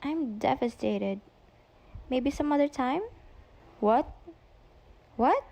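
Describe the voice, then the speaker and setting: A woman's voice: sits high, slow, vocal fry, dark tone, heavily acted. A woman in an amateur radio play acting a pleading, dramatic teenage daughter who is boy-crazy. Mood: disappointed. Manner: pleading, melodramatic.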